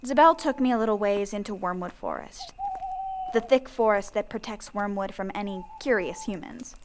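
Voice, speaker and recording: A woman plays a zombie heroine telling her story in first-person scripted narration. She sounds earnest and plain, at a middling pitch, with slight background noise. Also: moderate pace, close to an everyday voice. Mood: reflective. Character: candid young female zombie narrating her own story.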